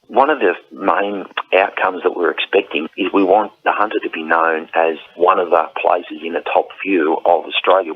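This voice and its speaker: A man speaking as a chief executive in an interview soundbite. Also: sits low. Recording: narrow tinny phone line.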